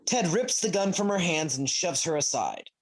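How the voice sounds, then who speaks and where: A narrator reading stage directions aloud at a comedy table read in a husky voice. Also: mid-range voice, lively intonation, normal speed. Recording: video call.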